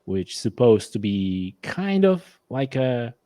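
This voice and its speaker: A man's voice: medium pitch, sing-song, slow pace, dark tone, no room sound, studio quality. A man from Poland with a Polish accent, a guest talking casually and hesitantly, often restarting his phrases. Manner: hesitant, restarts phrases, non-native grammar. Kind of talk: casual conversation.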